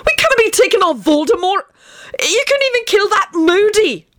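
A woman's voice: fairly high pitch, lively intonation, measured pace, no room sound, clean studio sound, pitched above the performer's own voice. A woman voicing an anxious, gloomy young man in an audiobook story, exasperated and sardonic. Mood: panicked.

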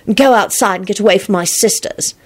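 Woman voicing an excitable, high-pitched schoolgirl. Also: a little fast, natural voice. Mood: earnest, curious. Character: impulsive, sweet, excitable schoolgirl.

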